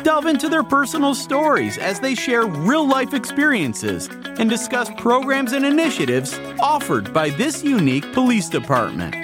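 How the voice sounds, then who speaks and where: A woman reading a scripted intro for a podcast in a lively, promotional way. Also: a little high, moderate pace, few pauses. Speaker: show announcer. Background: music.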